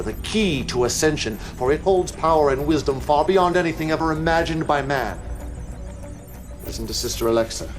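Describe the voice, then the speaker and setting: A man's voice: medium pitch, natural voice. Grandiose, theatrical cult prophet played by a man. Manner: measured, theatrical, commanding. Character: zealous, grandiose male prophet leading a militant cult. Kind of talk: scripted video game cutscene acting.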